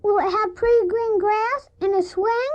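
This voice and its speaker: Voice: very high voice, fairly even intonation, moderate pace. A performer playing an innocent, curious little boy in a radio drama, with a wistful, childlike way of asking questions. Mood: eager, curious. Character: innocent, eager, freckled little boy, motherless and poor. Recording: noisy, dull old cassette audio.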